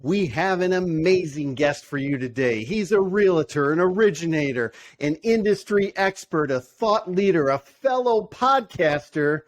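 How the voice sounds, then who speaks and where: A man with a high voice hosts a podcast, opening with a brisk, upbeat announcer-style introduction. He then turns to conversational questions for his guest. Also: lively intonation. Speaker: podcast host.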